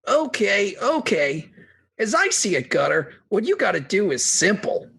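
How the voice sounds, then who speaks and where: A woman's voice: medium pitch, very animated, measured pace, gravelly, a bit bright, breathy edge, heavily acted. A woman voicing an upbeat, laid-back slacker pal with slangy, drawling dude talk in a comic radio drama. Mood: advising.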